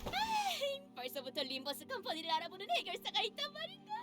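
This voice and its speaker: A woman's voice: very high-pitched, racing, full character voice. A woman voicing an eager young female knight, theatrical and gushing, in a game. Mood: giddy. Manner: excitable, grandiose. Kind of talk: game voice acting. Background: game music.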